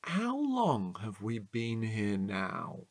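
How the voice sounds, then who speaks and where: A man acting a chatty, curious night-watch guard in a naturalistic audio drama, husky and halting in a playful way. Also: lowish voice, huge pitch swings, no room sound, heavily acted. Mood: restless, wondering.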